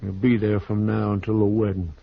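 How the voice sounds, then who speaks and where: An actor playing a blunt, stubborn, jealous bridegroom in a 1950s western radio drama. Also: male voice, on the low side, level tone, fairly quick, gravelly, slightly rough. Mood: cold threat. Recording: dull old radio recording.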